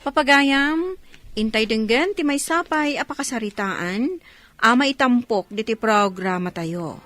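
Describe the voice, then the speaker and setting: A woman announcing a radio drama in a measured, declarative manner. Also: a little high, expressive, a bit bright, dry recording. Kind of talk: radio drama narration and acting.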